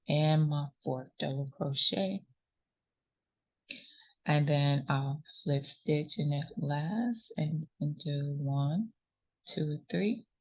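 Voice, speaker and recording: A woman's voice: low voice, vocal fry, highs cut off. A woman hosting a crochet tutorial, talking patiently and reassuringly, counting stitches aloud, a little sniffly from a runny nose. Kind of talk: step-by-step crafting instruction.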